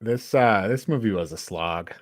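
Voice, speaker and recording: A man's voice: lowish voice, moderate pace, no room sound, studio quality. A man co-hosting a podcast, chatting casually about a film in colloquial, emphatic language. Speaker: podcast co-host. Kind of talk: casual film review chat.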